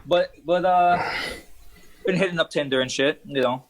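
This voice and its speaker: A man's voice: a little high, normal speed, poor audio. A young man from Trinidad, a fighter and podcast guest, talking in a laid-back way over a video call, swearing casually and laughing often.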